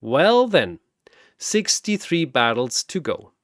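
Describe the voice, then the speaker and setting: A man's voice: fairly high pitch, very animated, takes it slowly, no echo. Cosmic god master of ceremonies, acted by a man: grandiose, theatrical storybook figure, dry recording. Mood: rueful. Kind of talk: storybook-style character voice.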